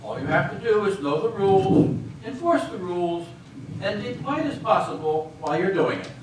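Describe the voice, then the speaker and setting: A man's voice: high voice, sing-song, moderate pace. An amateur actor, a man, playing a stubborn, rule-bound border official in a stage comedy reading, prim and indignant. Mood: officious. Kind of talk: amateur readers theater comedy acting. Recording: very echoey hall from far off, faint hiss.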